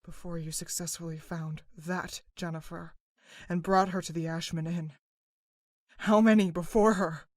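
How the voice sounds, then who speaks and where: A woman's voice: fairly low pitch, fairly even intonation, moderate pace, darkish tone, no echo, clean studio sound, natural voice. An actress playing a cold, bitter, long-suffering woman in a dramatic video game scene, speaking in an accusing way. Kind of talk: dramatic game cutscene acting.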